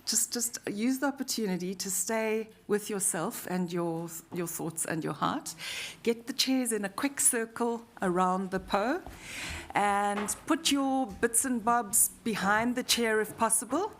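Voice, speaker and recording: A woman from southern Africa with a South African accent, leading a live workshop in a halting, friendly way with many pauses and fillers. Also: mid-range voice. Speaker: workshop facilitator. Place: university meeting room.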